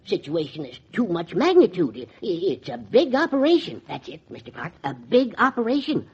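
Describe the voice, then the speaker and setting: An actor playing a timid, fussy little fur dealer in a 1940s radio drama, stammering and repeating himself. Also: male voice, high-pitched, wildly swooping pitch, moderate pace, husky, exaggerated voice. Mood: nervous, hesitant. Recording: dull, noisy vintage radio recording.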